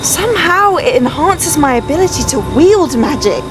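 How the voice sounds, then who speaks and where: A high, very animated voice for a defiant, power-hungry witch in a fantasy game. She is intense and persuasive, with clear music underneath. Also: moderate pace. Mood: smug, assured. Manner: intense, persuasive, self-assured. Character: zealous, defiant young witch drunk on forbidden power. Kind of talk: dramatic game cinematic acting. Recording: loud music behind the voice.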